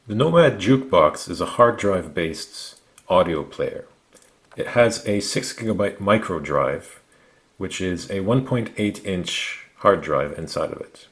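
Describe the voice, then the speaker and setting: Low-voiced man narrating a gadget review. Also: expressive, measured pace. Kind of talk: product review narration, explanatory.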